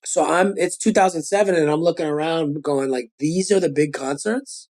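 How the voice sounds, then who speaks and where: Animated Brooklyn-accented man. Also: a little high, normal speed. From Brooklyn, New York. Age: 40s. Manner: animated street-style storytelling, slangy. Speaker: guest rapper. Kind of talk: casual interview chat.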